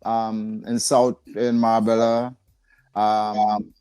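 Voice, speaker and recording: A man's voice: on the low side, level tone, extremely slow, warm tone, clean tone. An older man from Trinidad with a Trinidadian accent, telling stories in a casual, conversational way as an interview guest. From San Fernando, south Trinidad. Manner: chuckles often, conversational reminiscing. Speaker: podcast guest, retired rehabilitation director.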